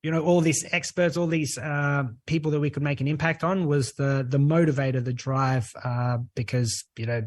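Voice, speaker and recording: A man with an Australian accent, a guest on a talk show, speaking earnestly and relaxed, with many "uh" fillers. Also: on the high side, normal speed, hardly any pauses. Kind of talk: podcast interview.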